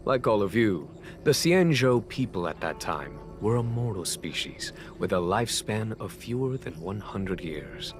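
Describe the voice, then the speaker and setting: An actor playing a calm, wry military general in a video game, speaking in a measured, formal and courteous way. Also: male voice, lowish voice, natural voice. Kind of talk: scripted video game dialogue. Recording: background music under the voice.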